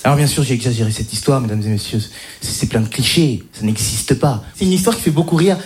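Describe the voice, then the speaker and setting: A man's voice: medium pitch, fast talker, noisy background. A man from the French suburbs doing stand-up, telling an exaggerated school story with hesitations and mock voices. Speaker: stand-up comedian. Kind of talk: stand-up routine.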